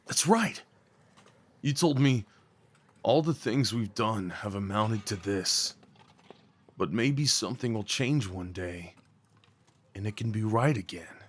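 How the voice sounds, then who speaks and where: Husky, tender young man, acted by a man. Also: on the low side. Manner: halting, confiding, emotionally earnest. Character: remorseful young man, former delinquent, tenderly confessing old love. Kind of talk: intimate ASMR roleplay audio drama.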